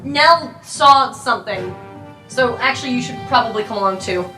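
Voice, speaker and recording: A woman's voice: a little high, lively intonation, moderate pace. A woman talking casually as a player in an improvised tabletop role-playing game. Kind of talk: casual improvised roleplay talk. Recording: roomy sound, faint music.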